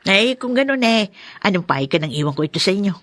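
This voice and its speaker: A coaxing, bright-voiced neighbor. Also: female voice, mid-range voice, big pitch swings, quick pace, dry recording, studio quality. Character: talkative neighbor woman, coaxing and eager.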